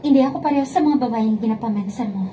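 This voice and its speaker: A woman's voice: a little high, fast talker, warm tone, slight background noise, close to an everyday voice. A woman playing an earnest, principled young bride-to-be in a radio romance drama, with a Hiligaynon Filipino accent. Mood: firm, heartfelt.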